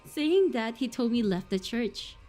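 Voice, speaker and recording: Woman streamer, slightly high voice, giggly and loud in her reactions, casual swearing. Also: expressive, a little slow, soft background music. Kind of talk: live game stream commentary and reading aloud.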